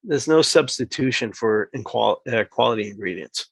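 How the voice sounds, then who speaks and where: A man's voice: on the low side, lively intonation, normal speed, gravelly, no echo. A man who makes mead, guest on a podcast, talking enthusiastically in long run-on stretches. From New Hampshire. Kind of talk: casual podcast interview.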